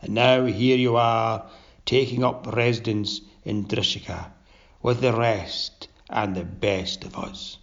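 Guy doing a creepy pompous ghost captain, gravelly and super slow, kinda muffled recording. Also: steady tone. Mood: gloating. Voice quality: gravelly, low and drawn-out. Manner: pompous, sly oration. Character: pompous, sinister undead male sea captain. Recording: dull lo-fi recording.

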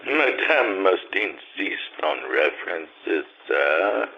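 Man playing a creepy, very slow-talking little servant. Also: low voice, very animated, creaky, dull audio, a little hiss or hum, exaggerated voice. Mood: oily, formal. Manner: halting, formal, deferential. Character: creepy, obsequious little male servant to a fortune teller. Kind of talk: old-time radio drama acting.

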